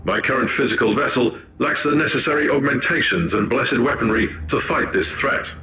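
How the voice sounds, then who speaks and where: A man's voice: medium pitch, moderate pace, background noise, natural voice. An actor playing a clinical, devout male cyborg tech priest in a video game, speaking in a formal, procedural manner. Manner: formal, precise, stiffly procedural. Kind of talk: scripted video game voice acting.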